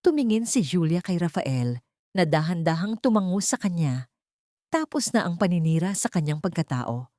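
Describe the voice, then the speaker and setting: A woman reading a dramatic audiobook story, voicing every character herself in one steady storytelling voice. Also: fairly low pitch, moderate pace, no room sound, studio quality. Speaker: audiobook narrator. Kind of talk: scripted dramatic narration.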